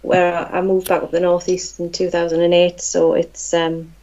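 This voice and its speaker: Flat-voiced Geordie woman, muffled audio. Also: fairly low pitch, normal speed. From Newcastle, North East England. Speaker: guest. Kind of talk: casual chat.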